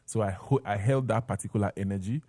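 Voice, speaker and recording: A man's voice: on the low side, fast talker, dark tone, no echo, studio quality. A man with a Nigerian accent talking conversationally as an interview guest, sharing personal stories.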